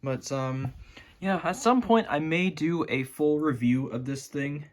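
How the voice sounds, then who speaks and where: A man giving a casual, informal hands-on DIY demonstration as a hobbyist. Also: a little high. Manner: unhurried, informal. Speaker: hobbyist presenter. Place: his room at home. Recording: clearly noisy home recording with clicks.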